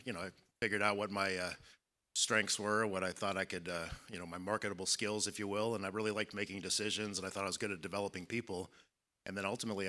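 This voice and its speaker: A man in his fifties speaking as a panelist at a conference, measured and reflective, with many fillers. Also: deep voice, pushed and bright. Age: 50s. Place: conference hall.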